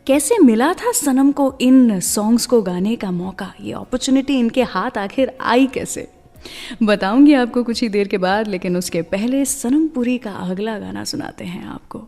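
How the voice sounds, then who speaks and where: A woman's voice: fairly high pitch, normal speed, mellow, long reverb tail. An Indian woman presenting a scripted radio show, telling stories and addressing listeners directly, mixing in English phrases. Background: music.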